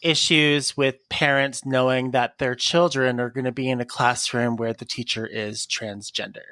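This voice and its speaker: A man co-hosting a casual interview podcast, chatty and quick to laugh. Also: medium pitch, dry recording. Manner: laughs often, chatty and animated. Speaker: podcast co-host.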